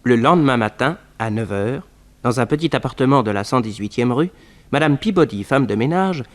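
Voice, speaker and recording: A man's voice: mid-range voice, lively intonation, a little fast, close to an everyday voice. A man narrating a scripted 1960s radio drama in French, on a noisy vintage recording.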